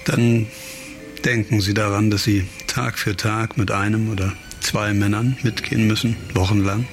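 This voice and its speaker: An actor playing a brooding, driven amateur investigator in a radio crime drama, terse and intense with hesitant pauses. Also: male voice, fairly low pitch, fairly even intonation, close to an everyday voice. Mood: grave, warning. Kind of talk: radio crime drama acting with inner monologue. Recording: music behind the voice.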